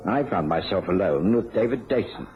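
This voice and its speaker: Radio drama: physician-narrator, formal and courteous, animated intonation, dull lo-fi sound. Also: male voice, low-pitched, normal speed, soft background music. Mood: narrating. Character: Doctor Watson, measured gentlemanly physician narrating a mystery.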